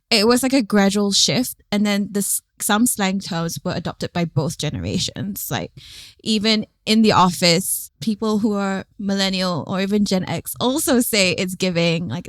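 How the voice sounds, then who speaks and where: A woman's voice: lowish voice, no room sound. A woman from Singapore, a podcast guest, chatting casually with frequent "like" fillers. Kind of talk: casual chat.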